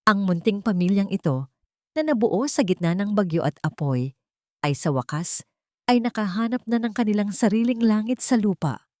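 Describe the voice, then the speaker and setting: A woman narrating a sentimental love story in a measured, solemn, dramatic way. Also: mid-range voice, lively intonation, mellow, no echo, studio quality.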